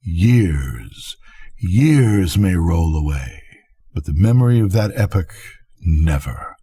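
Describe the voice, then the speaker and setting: An older man reading a gothic literary tale aloud as a storyteller, solemn and theatrical, with a husky voice. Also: sits low, very animated, warm tone. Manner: solemn, theatrical, measured delivery. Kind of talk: dramatic literary audiobook narration.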